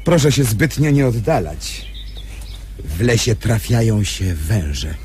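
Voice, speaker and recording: An actor playing an obliging, plain-spoken sanatorium gardener in a radio drama, folksy and matter-of-fact. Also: male voice, fairly low pitch, sing-song, slow, a little mellow, slight background noise. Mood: cautioning.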